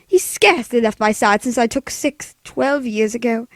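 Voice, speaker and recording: A woman's voice: a little high, moderate pace, dry recording, close to an everyday voice. A woman playing an anxious, demanding bedridden wife in 1940s radio play style, with fretful, stammering, melodramatic delivery. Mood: flustered.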